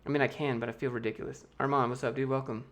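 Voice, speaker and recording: An American man chats through viewer questions on a live stream from a room at home, speaking into a desk mic. His delivery is loose and offhand, with quick jokes between answers. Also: medium pitch, little pitch movement. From United States. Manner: loose, joking, reads chat questions aloud and answers offhand. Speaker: YouTube host answering chat. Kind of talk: casual livestream Q&A.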